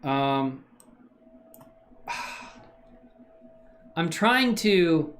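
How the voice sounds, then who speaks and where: A man's voice: a little high, extremely slow. A man livestreaming his 3D modeling work, thinking aloud in a casual, slangy way and answering questions from chat. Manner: relaxed slangy talk with many fillers.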